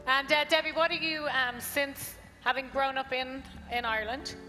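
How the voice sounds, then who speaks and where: A woman's voice: sits high, steady tone, moderate pace, bright, forceful tone. A woman hosting from a stage, interviewing and preaching in short phrases. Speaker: host, preacher. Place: large event hall. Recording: live hall with long reverb.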